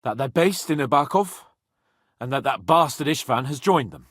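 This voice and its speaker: An actor playing a brash, haughty young medieval nobleman in a video game, sardonic and hot-tempered, with a slightly husky voice. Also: mid-range voice, normal speed, dry recording, studio quality. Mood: matter-of-fact. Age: young adult. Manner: haughty, sarcastic, swearing, expressive.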